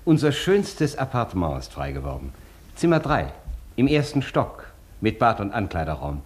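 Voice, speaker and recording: An actor playing an obliging hotel porter with a formal, deferential manner in a German radio play. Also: male voice, lowish voice, big pitch swings, normal speed.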